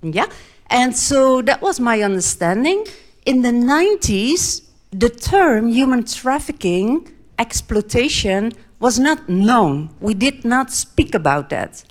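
A middle-aged Dutch woman with a Dutch accent, giving an earnest talk in English in short phrases, pausing for an interpreter. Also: on the high side, sing-song. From Netherlands. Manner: earnest, pauses often between short phrases. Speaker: speaker.

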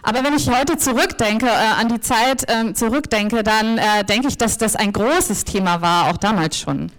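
A woman's voice: a little high, normal speed. A woman interpreting a talk consecutively, hesitating often with fillers and restarts. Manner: hesitant, many fillers and restarts while translating.

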